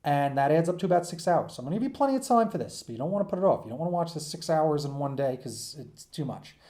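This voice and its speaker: A man's voice: on the high side, big pitch swings, fast talker, warm tone. A man teaching in an informal, off-the-cuff way, rambling and often checking in with his listeners. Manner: rambling, frequent check-ins like "okay?" and "right?". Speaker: teacher giving an assignment. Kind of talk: informal lecture to students, off the cuff.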